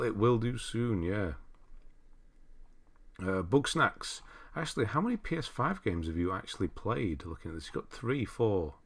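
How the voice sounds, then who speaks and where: A man's voice: fairly low pitch, a bit bright. A man hosting a casual livestream, rambling and thinking aloud as he reads out messages and comments on lists. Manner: rambling, thinking aloud, often trailing into asides. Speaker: livestream host. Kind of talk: casual live chat, reading out viewer messages and commenting on game lists.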